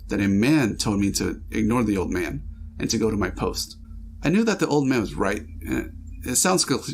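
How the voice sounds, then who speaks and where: A man's voice: on the low side, vocal fry, slightly rough. A man reading a listener's dream aloud as a first-person story, with small slips and restarts.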